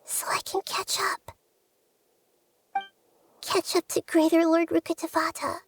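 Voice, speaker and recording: A woman voicing a small, lonely, earnest girl deity in a video game, soft, breathy and sorrowful. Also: very high-pitched, level tone, a little slow, slight background noise. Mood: forlorn, wistful. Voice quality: breathy, whispery, childlike. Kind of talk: video game character acting.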